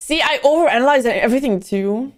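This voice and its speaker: A young woman from Belgium, her mother tongue, with a lilt to her English. Her voice sits a touch high, and she chats loosely on a livestream, wandering from thought to thought as she answers her viewers. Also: fast. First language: Dutch. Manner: chatty, rambling, answering chat messages. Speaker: livestream host. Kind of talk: casual livestream chat.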